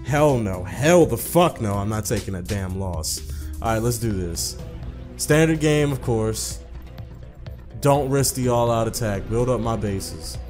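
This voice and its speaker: A man's voice: lowish voice, animated, a little slow, a little mellow, faint music behind. A man doing a casual let's play, swearing and repeating himself in slang as he comments and reads game text aloud. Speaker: gaming video host.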